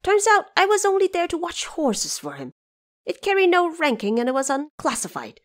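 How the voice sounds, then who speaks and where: A woman's voice: very high voice, big pitch swings, normal speed, voice pushed up. A woman voicing a proud, hot-tempered, boastful male monkey king in an audio drama. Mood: indignant. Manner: boastful, commanding, indignant. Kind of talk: audio drama character acting.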